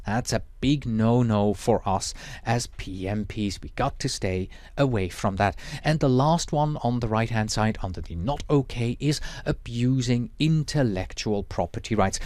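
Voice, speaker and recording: A man whose first language is Swiss German, teaching a lecture in a measured, explanatory way with Swiss German accent. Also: medium pitch, darkish tone, no echo. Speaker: project management instructor.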